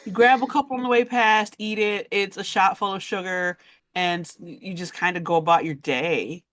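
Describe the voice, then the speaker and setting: A woman's voice: medium pitch, fairly even intonation, normal speed, fairly bright tone, no room sound. A woman talking casually and conversationally in a group chat. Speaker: audio drama panelist.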